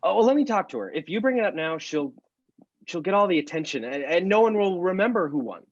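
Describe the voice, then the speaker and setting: A man playing a sheepish, tactless teenage boy in a screenplay table read, halting and eager to please. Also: high voice, expressive, no room sound, muffled recording. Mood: defensive. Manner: halting, backpedaling.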